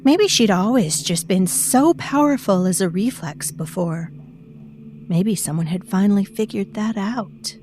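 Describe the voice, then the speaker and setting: A woman's voice: medium pitch, expressive, a little slow, darkish tone, slight background noise, close to an everyday voice. A woman narrating an audio drama as a mysterious presence living in a girl's mind, musing darkly and speaking straight to the listener. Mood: brooding. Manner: musing, ominous, addresses the listener directly.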